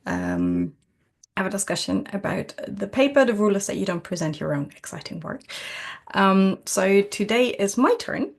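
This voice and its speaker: A woman hosting an informal online journal club over a video call, explaining a paper in a hesitant way and pausing often to find her words. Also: mid-range voice, creaky. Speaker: journal club presenter and host. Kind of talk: informal online seminar presentation.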